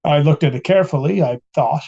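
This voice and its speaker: A man's voice: fairly high pitch, quick pace, creaky, dark tone, husky, muffled recording. A man playing a plainspoken, deferential 1890s police captain, giving matter-of-fact testimony in a dramatized trial reading. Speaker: voice actor reading a trial transcript.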